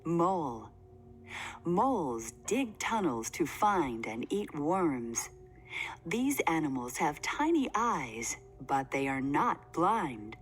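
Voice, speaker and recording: Slow, expressive woman reading to children. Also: deep voice, soft background music. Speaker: read-aloud narrator. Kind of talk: children's book read-aloud. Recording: faint background noise.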